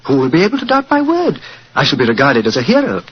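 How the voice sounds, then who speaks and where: An actor playing an obsequious, menacing manservant villain in a 1940s radio drama, precise and mock-deferential. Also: male voice, on the high side, huge pitch swings, quick pace, breathy edge, full character voice. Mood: gloating. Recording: muffled, noisy old radio recording.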